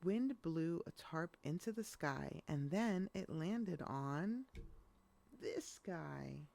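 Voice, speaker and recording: A woman reading a rhyming children's picture book aloud in an expressive storytelling style. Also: sits low, slow, vocal fry.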